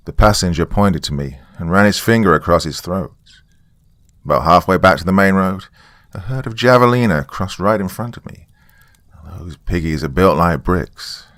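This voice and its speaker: A man's voice: deep voice, fairly bright tone, no echo. A man reading a scripted first-person story as a dramatic narrator. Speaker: narrator of horror stories.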